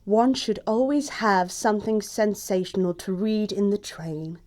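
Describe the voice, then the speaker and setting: An actress plays a haughty young society lady with an upper-class English accent and a mid-pitched voice. She speaks precisely and pompously, with cutting politeness, in the style of a comic stage play. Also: a little slow, a little mellow, lightly acted. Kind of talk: stage comedy acting in an audio play.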